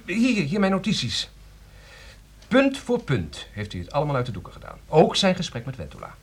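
An actor playing an educated man who anxiously defends his friend, hesitant but persuasive, with a husky voice, in a radio drama. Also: a little high, huge pitch swings, normal speed, full character voice. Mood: pleading, insistent. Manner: hesitant, explanatory, pleading. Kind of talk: Dutch radio drama acting.

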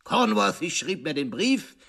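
Theatrical, husky detective. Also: male voice, high voice, very animated, pushed and bright, full character voice. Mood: dramatic revelation. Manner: dramatic, rhetorical questions and emphatic pauses. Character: shrewd, theatrical male detective, self-assured and precise. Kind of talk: radio drama monologue, explaining a case.

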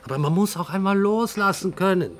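Casting: actor as officious male detective, middle-aged, slightly high voice, reproachful, radio play with faint ambience. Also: fairly quick, slight background noise, lightly acted. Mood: weary reproach. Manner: reproachful, earnest. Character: reproachful, officious police detective, former junior colleague. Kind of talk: naturalistic radio drama dialogue.

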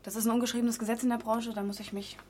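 A woman's voice: on the high side, level tone, racing, darkish tone, slight background noise, lightly acted. An actress playing a woman in her twenties who deals drugs, caring yet businesslike, in an understated radio drama style. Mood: businesslike, uneasy. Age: 20s. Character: young female drug dealer, caring yet businesslike. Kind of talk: naturalistic radio drama acting.

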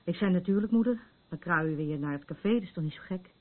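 An actress in a radio play, playing a troubled, battered woman who is anxious and rambles haltingly in defence of her abuser. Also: on the low side, natural voice. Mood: wistful. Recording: muffled, phone-like narrow band.

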